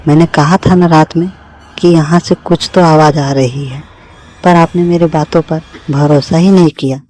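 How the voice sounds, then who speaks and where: A woman's voice: low voice, fairly even intonation, normal speed, warm tone. A woman playing an anxious, suspicious village wife in a horror cartoon. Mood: worried, reproachful.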